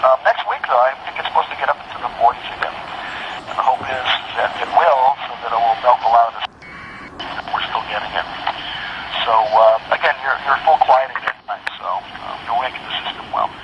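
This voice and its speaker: A man's voice: mid-range voice, a little fast. An American man making casual, rambling conversation as an amateur radio operator. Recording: dull, noisy internet radio link.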